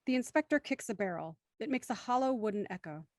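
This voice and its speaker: A woman's voice: a little high, expressive, fast, a little mellow, no echo, clean studio sound. A woman reading scripted scene narration for an audio drama.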